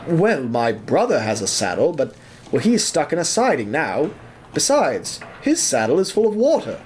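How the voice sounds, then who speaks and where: A man's voice: fairly high pitch, wildly swooping pitch, normal speed, darkish tone, slight background noise. A man voicing a polite, anxious young steam engine in an audio story, deferential and hesitant. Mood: puzzled, worried.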